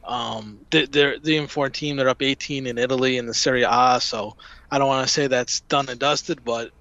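A man with a bright, forceful tone muses about football, hesitant and rambling as he thinks out loud. His audio sounds dull and lo-fi, like a remote feed. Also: mid-range voice, fairly even intonation, moderate pace, dry recording. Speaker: podcast co-host. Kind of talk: casual football chat.

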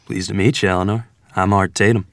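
Man acting a low-voiced, genial 1920s drama man. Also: flat delivery, fast, fairly bright tone, no room sound, clean studio sound. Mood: courteous, admiring. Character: genial jazzman or wry rural ferryman father. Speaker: actor at a screenplay table read. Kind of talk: dramatic script reading.

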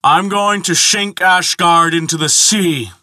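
A man's voice: on the high side, lively intonation, unhurried, sharp tone, no room sound, studio quality, pitched above the performer's own voice. A man voicing a drunken, boastful, belligerent giant in a storybook, bellowing with slurred speech. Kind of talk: dramatic storybook narration dialogue.

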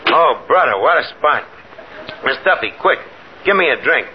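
A brash, scheming saloon manager, acted by a man in a 1950s radio comedy. He talks in ungrammatical, wisecracking slang with very lively intonation. The vintage recording is muffled and plainly noisy. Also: fairly high pitch, moderate pace, lightly acted. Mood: wary, flustered.

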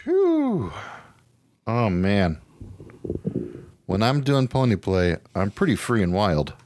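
A man's voice: lowish voice, huge pitch swings, takes it slowly, a little mellow, a little hiss or hum. A man co-hosting a podcast, chatting casually in loose, slangy, colloquial speech. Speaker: podcast co-host. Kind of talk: casual chat.

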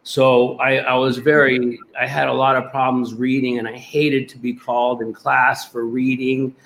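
Male guest from Puerto Rico, earnest and rambling, average pitch. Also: level tone, normal speed, vocal fry, fairly bright tone, low-quality recording. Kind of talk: casual interview.

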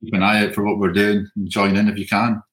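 A man's voice: fairly low pitch, level tone, fast, a bit bright, poor audio. A man who runs a whiskey shop, speaking in a businesslike way in an interview over a video call. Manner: businesslike, promotional.

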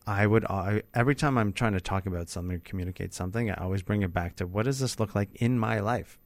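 Middle-aged male, low register, dry close recording, thoughtful and sincere conversational delivery. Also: fast talker, no room sound. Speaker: workshop facilitator and speaker, interviewing. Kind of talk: casual conversational interview.

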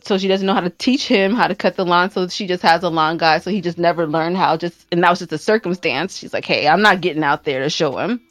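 A woman's voice: on the low side, fairly even intonation, quick pace, few pauses. A woman hosting a podcast in casual, conversational chat. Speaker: podcast host.

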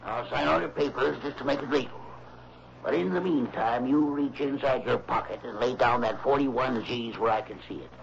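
A man playing a gravelly, shifty radio-drama crook. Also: mid-range voice, normal speed, close to an everyday voice. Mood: menacing. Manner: blustering, stammering, slangy tough talk. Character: shifty, short-tempered male blackmailer and killer, cornered. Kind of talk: 1960s radio drama acting. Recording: noisy, dull old radio recording.

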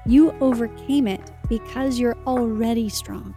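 A woman's voice: a little high, measured pace, warm tone, faint music behind. A woman hosting a podcast, enthusiastic and encouraging as she thanks her guest and lists takeaways. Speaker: podcast host. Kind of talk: podcast chat and summary.

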